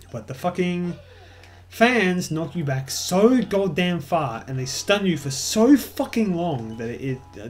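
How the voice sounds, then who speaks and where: A young Australian man with an Australian accent commentating casually over gameplay, rambling and swearing freely. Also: fairly high pitch. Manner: rambling, swears a lot, self-mocking. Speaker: let's play host.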